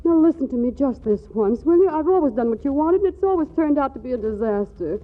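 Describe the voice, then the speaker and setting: A flustered, wry young wife in a vintage radio comedy. Her high voice swoops through arch, hesitant lines. The sound is tinny and phone-like, with music underneath. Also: animated, fast. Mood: resisting, tender. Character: flustered, wry wife torn between love and money. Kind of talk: 1940s radio comedy acting. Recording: tinny old radio broadcast, music behind.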